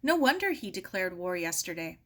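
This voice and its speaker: A woman's voice: fairly low pitch, big pitch swings, moderate pace. A woman voicing a grieving, irritable storybook uncle, bitter and emphatic. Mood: grave.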